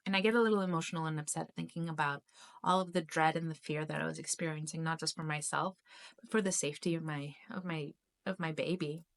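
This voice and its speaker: A woman's voice: sits low, fairly even intonation, vocal fry. Emotional woman telling a personal story. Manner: emotional, halting, many restarts and self-interruptions. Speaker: spiritual YouTube channel host.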